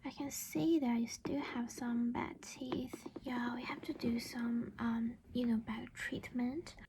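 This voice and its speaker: A woman whispering in a gentle, reassuring, halting way, in an ASMR roleplay as a caring vampire mother. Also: on the high side, fairly even intonation, background noise. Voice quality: breathy whisper. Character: caring vampire mother doing a dental checkup.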